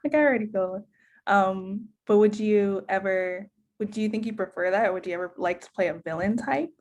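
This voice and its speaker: Woman hosting a fan podcast, upbeat and encouraging, mid pitch. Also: fairly quick, darkish tone. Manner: enthusiastic, affirming. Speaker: podcast host. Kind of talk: casual interview.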